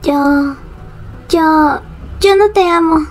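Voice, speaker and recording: A woman's voice: high-pitched, very slow. A woman voicing a sweet, coy young woman who is playfully cruel, in a Latin American Spanish comic fandub.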